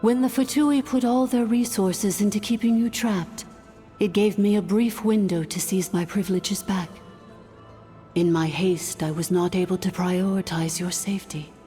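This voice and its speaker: An actress playing a solemn ancient dragon sovereign, an artificial intelligence in a video game, speaking formally, gravely and with measured delivery. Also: female voice, mid-range voice, steady tone, mellow, lightly acted. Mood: solemn, commanding. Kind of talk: scripted video game voice acting. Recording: long hall reverb with music.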